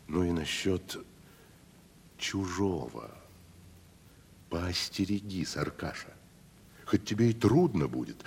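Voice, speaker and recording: A man's voice: extremely low pitch, gravelly, slightly rough, heavily acted. An actor playing a pompous, down-at-heel provincial tragedian who talks down to his companion in a classical radio comedy. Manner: patronising, grandly condescending. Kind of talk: Russian classical stage comedy, radio play. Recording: reverberant 1970s radio drama recording.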